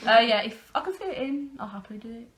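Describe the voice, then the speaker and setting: A woman's voice: fairly high pitch, lively intonation, quick pace, roomy sound, soft background music. A young woman chatting casually as an interviewee. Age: young adult. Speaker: amateur pantomime performer being interviewed. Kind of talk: casual chat.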